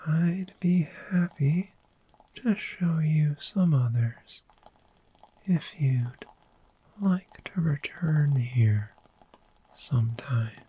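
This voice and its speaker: A woman voicing a friendly, gentle, sleep-loving dragon in a soft-spoken ASMR roleplay, whispering soothingly and lingering over repeated words. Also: low-pitched, expressive, extremely slow, long pauses, exaggerated voice, poor audio. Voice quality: breathy whisper.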